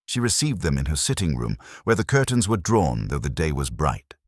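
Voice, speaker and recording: A man narrates a detective story from a script. His voice is extremely low and somewhat husky, yet his intonation moves freely. The recording is dry and close. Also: sing-song, moderate pace, dry recording. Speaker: audiobook narrator.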